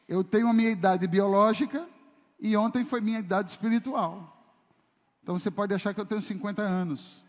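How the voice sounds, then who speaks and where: A man's voice: sits high, darkish tone. A man preaching a sermon as pastor, emphatic and full of rhetorical questions to the congregation. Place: church service hall. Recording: long reverb of a church hall.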